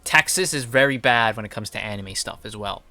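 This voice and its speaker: Indignant man with a bright, forceful voice. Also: fairly low pitch, brisk, no echo. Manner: indignant, blunt, swearing emphatically. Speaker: commentary channel host. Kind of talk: unscripted opinionated news commentary.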